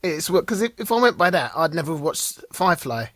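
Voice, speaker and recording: A man's voice: on the high side, big pitch swings, fairly quick, a bit bright, no room sound, clean studio sound. A man co-hosting a podcast, talking with enthusiasm and tripping over his words. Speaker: podcast co-host. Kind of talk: casual chat.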